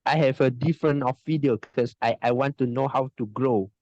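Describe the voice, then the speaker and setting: A man from Indonesia, an online English teacher, answering interview questions on a video call in a halting way, often restarting and laughing. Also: medium pitch, darkish tone, no room sound. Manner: halting, hesitant speech with many restarts and frequent laughs. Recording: dull, muffled video-call audio.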